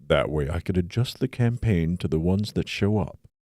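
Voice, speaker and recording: A man's voice: low-pitched, expressive, moderate pace, a little mellow, no echo, clean studio sound. A man playing a businesslike, matter-of-fact dungeon master in an audiobook drama. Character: businesslike male dungeon master organizing his players.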